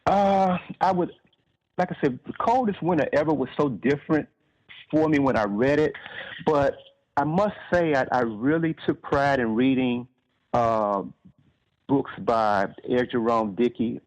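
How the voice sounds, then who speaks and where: A man's voice: medium pitch, measured pace. A man from Chicago with an American accent, giving conversational answers as an interview guest. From Chicago, United States. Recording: dull, lo-fi band-limited sound.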